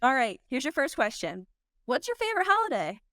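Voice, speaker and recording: Young woman interviewer, bubbly and quick, clean dry sound. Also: high-pitched, huge pitch swings, fairly bright tone, no echo. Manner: cheerful, brisk, question-reading. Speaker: interview host. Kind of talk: friendly interviewer reading questions.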